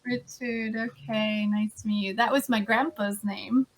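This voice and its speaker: A slow, unhurried woman with a slightly raised voice, talking on a video call. She chats casually as an English tutor and explains sounds patiently, with easy laughter. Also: a little high, steady tone, a little hiss or hum. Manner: chatty, explains pronunciation patiently, laughs often. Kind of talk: casual conversation class. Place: standing at home during a video call.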